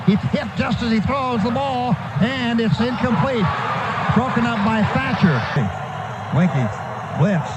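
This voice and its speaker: High-pitched man calling live football on radio. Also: lively intonation, unhurried. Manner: clipped running descriptions in short phrases. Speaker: radio play-by-play announcer. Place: stadium broadcast booth. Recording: noisy live stadium radio broadcast.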